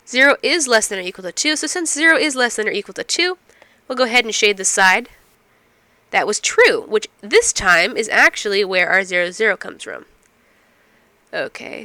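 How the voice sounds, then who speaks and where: Bright, dry-voiced woman teaching math. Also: fairly high pitch, no echo. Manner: step-by-step explaining, asks and answers own questions. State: getting over a cold. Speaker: math instructor. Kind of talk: recorded math lecture.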